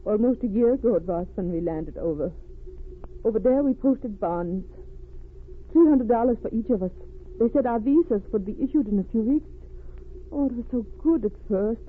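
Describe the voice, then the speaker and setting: A haunted refugee wife played by a woman tells of her ordeal. Her phrasing has foreign word order, and the grief sits in every pause. It is vintage radio drama, narrow and tinny with a light layer of noise. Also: fairly high pitch, expressive, lightly acted. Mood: wistful, sorrowful. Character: distraught refugee wife haunted by a smuggler's crime. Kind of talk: old radio drama acting.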